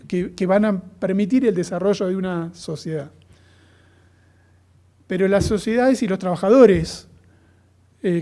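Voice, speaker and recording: A man with an Argentine accent lectures in an academic register, his voice sitting fairly high but soft and mellow. He talks thoughtfully, often pausing with fillers and starting phrases over. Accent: Argentine Spanish. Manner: thoughtful, many fillers and restarts. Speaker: lecturer on comparative education.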